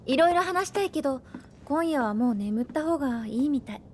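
A woman voice actor playing a gentle, earnest young fighter in a video game, expressive in delivery. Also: sits high, a little fast, a little hiss or hum. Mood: soft, tender. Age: young adult. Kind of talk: Japanese video game voice acting. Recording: music behind the voice.

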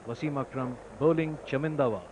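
Man, cricket commentator, ball-by-ball calls, bursts of excitement, noisy vintage broadcast tape. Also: medium pitch. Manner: excited rises on wickets, measured otherwise.

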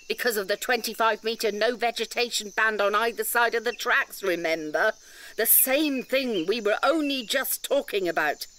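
A woman's voice: mid-range voice, fairly bright tone, a little hiss or hum. A woman playing an indignant nature-reserve campaigner in an audio drama, lecturing emphatically with pedantic detail and rhetorical questions. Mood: scornful.

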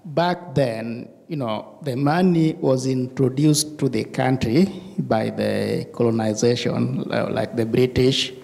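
A middle-aged East African man shares memories in an interview, pausing often. His voice is mellow and creaky at the edges, and he speaks slowly. It is recorded in a reverberant church. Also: mid-range voice. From Tanzania, East Africa. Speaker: church member being interviewed. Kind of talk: personal recollection in an interview. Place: indoor church sanctuary. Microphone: handheld microphone. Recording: reverberant hall, handheld microphone.

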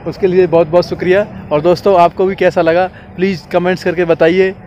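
A man's voice: sits high, flat delivery, brisk, darkish tone, lo-fi sound. A man hosting a casual street interview in an encouraging, approving way. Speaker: interviewer and video host. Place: outdoors on an open roadside. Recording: faint outdoor street noise.

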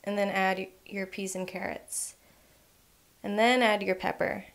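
A young woman hosting a home cooking channel, walking through a recipe in a relaxed way with lots of "um" and "like". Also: mid-range voice, measured pace, vocal fry. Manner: relaxed, filler-heavy instructions with frequent "um" and "like". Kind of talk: casual recipe voice-over.

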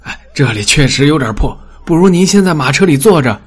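A man voicing a deferential, reassuring steward in an audiobook, polite and explanatory, with a husky voice. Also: fairly high pitch, lively intonation, a little fast, soft background music. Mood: solicitous.

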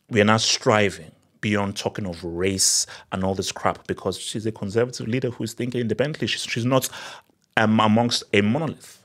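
Thirties Nigerian-accented male commentator; opinionated, sarcastic, animated; small-studio desk-mic sound. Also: on the low side. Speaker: political commentary vlogger. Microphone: desk mic on boom arm.

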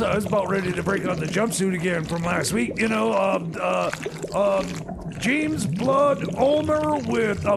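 Man playing a high, creaky stammering radio DJ. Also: very noisy, heavily acted. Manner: halting, stammering, constantly repeating words. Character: stammering, sarcastic, insecure male radio DJ. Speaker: radio music show host. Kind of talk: comedy radio theater banter.

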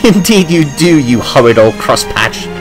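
A man's voice: medium pitch, expressive, normal speed, music in the background, pitched above the performer's own voice, lightly acted. A man voicing a kind, cheerful young woman who cleans engines, with an affectionate teasing manner. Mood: warm, teasing.

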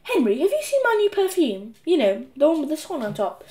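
A woman's voice: high-pitched, very animated, brisk, mellow, reverberant, pitched above the performer's own voice. A woman voicing an exasperated, scolding mother in a storybook, emphatic and screechy. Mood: questioning.

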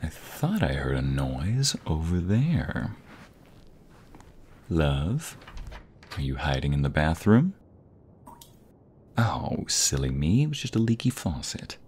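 A man playing a playful, doting young husband in an ASMR roleplay, with a breathy voice that often slips toward a whisper and a teasing, affectionate delivery. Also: a bass voice, sing-song, a little mellow, a little hiss or hum, exaggerated voice. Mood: puzzled, searching. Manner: teasing, affectionate, drawn-out delivery with pet names.

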